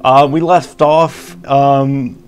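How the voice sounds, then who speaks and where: A man giving low-key, hesitant, casual commentary as he plays a video game. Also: steady tone, extremely slow, slight background noise. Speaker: gamer commentating on a playthrough.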